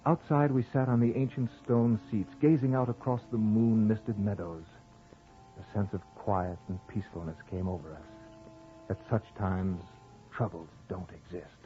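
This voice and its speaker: Male actor as kindly, earnest husband-narrator for vintage supernatural radio drama. Lower register, measured literary delivery, muffled archive sound. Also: steady tone, natural voice. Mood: somber, hushed. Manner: measured, literary storytelling and theatrical dialogue. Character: earnest husband narrating an eerie tale, kindly but exasperated. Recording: dull old radio recording with music.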